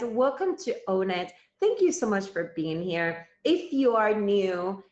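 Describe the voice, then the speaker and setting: A woman in her thirties from Cuba, a native Spanish speaker, hosting a live stream and chatting directly with her viewers. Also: medium pitch. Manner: chatty, addresses viewers directly, thanks gifters. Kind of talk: casual live stream chat. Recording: roomy, slightly dull sound.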